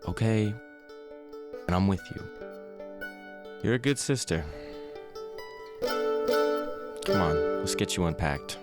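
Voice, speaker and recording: An actor playing a skeptical, protective husband who is blunt but supportive, in a naturalistic radio drama. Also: male voice, low-pitched, expressive, measured pace, over music.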